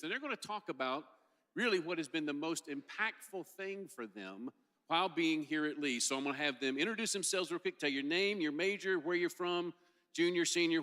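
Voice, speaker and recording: A man's voice: fairly high pitch, bright, forceful tone. A middle-aged man emceeing a student panel on stage with a handheld mic, upbeat and joking. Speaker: host. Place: large stage hall, indoors. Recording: long hall reverb.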